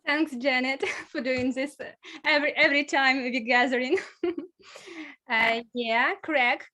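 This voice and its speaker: A Ukrainian-accented woman hosts an online meeting in a very high voice. She speaks slowly and laughs often between introductions. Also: fairly even intonation, pushed and bright. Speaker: club president hosting an online meeting. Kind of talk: casual introductions.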